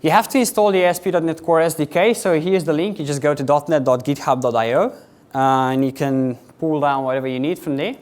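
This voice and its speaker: Young guy, slovenian accent, kinda high voice, rushing through a conference talk. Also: darkish tone. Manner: quick, chatty presenter with filler words, addressing a live audience. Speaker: conference speaker.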